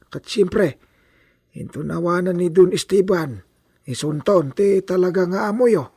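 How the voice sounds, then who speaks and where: A man's voice: high-pitched, a little slow, dark tone, breathy edge, no echo, clean studio sound, heavily acted. An actor with an Ilocano accent playing a humble, hesitant old herdsman in a radio drama. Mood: wistful, fond. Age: older.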